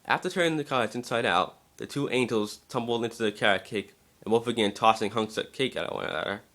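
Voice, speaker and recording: A man's voice: mid-range voice, fast talker, fairly bright tone. A man giving an amateur scripted narration of a story, now and then stumbling over the words.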